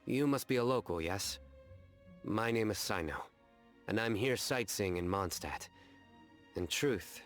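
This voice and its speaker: A man voicing a stern but courteous traveller, formal and deliberate, in a video game. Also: on the low side, expressive, soft background music. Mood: formal, composed. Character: stern, courteous male traveller, solemn and watchful. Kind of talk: scripted game voice acting.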